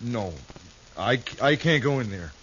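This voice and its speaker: An actor playing a simple, timid cowhand in a 1950s radio western, naive and halting. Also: male voice, medium pitch, lively intonation, fairly bright tone. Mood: frightened pleading. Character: simple, timid, childlike male cowhand in trouble. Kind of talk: 1950s radio drama acting. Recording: dull, hissy old radio recording.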